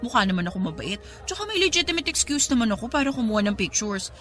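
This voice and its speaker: A woman's voice: on the high side, fast, faint music behind. A woman with a Filipino accent acting a smitten, cheeky young photography student who gets flustered in a radio drama. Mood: giddy, smitten. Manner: expressive, stammering when flustered.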